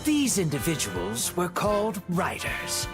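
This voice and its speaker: A man tells a storybook-like tale for a video game in a dramatic, measured way. His delivery is very unhurried, with wide swings in intonation. Prominent music plays behind his voice. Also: high-pitched, wildly swooping pitch. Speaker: narrator. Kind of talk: scripted storybook-like game cutscene narration.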